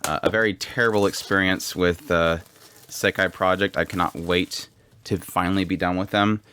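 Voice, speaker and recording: A man's voice: sits low, fairly even intonation, normal speed, pushed and bright, clear, resonant tone. A fed-up man ranting bluntly to camera, repeating himself. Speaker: video creator unboxing a product.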